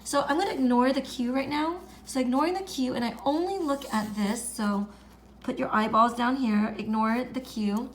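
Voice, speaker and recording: Raspy-voiced woman teaching math slowly. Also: high voice, a little hiss or hum. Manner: patient, repeats each step, clears throat often. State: raspy from illness. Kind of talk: screen-recorded math lesson.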